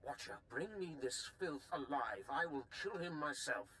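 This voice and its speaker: An actor playing a vengeful, grieving Sith lord admiral who gives menacing, contemptuous commands in a game. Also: male voice, a little high, animated, normal speed, bright, forceful tone.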